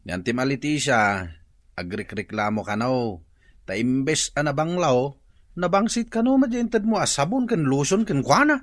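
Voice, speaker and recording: Man acting earnest husband, Ilocano accent, mid pitch, conversational, radio drama, dry studio sound. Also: very animated, normal speed, no echo, lightly acted. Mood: aggrieved. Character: concerned husband, reporting gossip and urging helpfulness.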